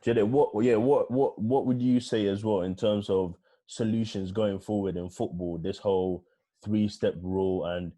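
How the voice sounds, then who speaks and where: A man hosts a panel over a video call with a low, dark voice. He keeps the talk moving with short prompts and pointed questions. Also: steady tone, moderate pace. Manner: brief affirmations, prompting questions. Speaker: show host. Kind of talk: hosting a panel discussion.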